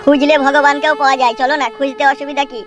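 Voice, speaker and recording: A woman's voice: high voice, flat delivery, very fast, a bit bright, soft background music. A woman voicing a desperate young nephew, plaintive and insistent, in a comedy cartoon. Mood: despairing, pleading. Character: desperate, pleading young nephew, homeless desert wanderer.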